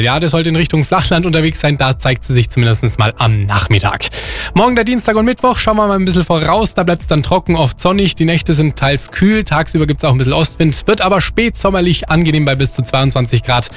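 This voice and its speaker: A man's voice: a little high, expressive, a little fast, faint music behind. A man presenting weather, traffic and speed traps on regional radio in a chatty, informal way.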